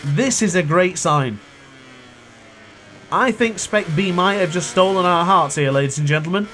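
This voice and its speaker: A young man with a cold-hoarsened voice, chatting and thinking aloud while he commentates his own racing game play. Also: sits high, moderate pace, fairly bright tone, a little hiss or hum, low-quality recording. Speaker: gaming youtuber. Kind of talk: live gameplay commentary.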